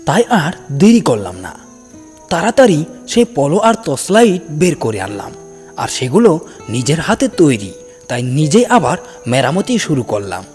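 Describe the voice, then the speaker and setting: A man's voice: a little high, huge pitch swings, normal speed, a little mellow. A man narrating a scripted first-person ghost story in a dramatic storytelling style. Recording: long cavernous reverb, music underneath. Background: background music.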